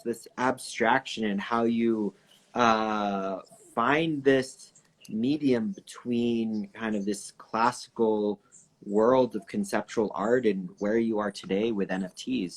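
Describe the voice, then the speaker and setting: A man's voice: mid-range voice, breathy edge, low-quality recording. A man hosting an interview show, asking short upbeat questions. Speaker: show host.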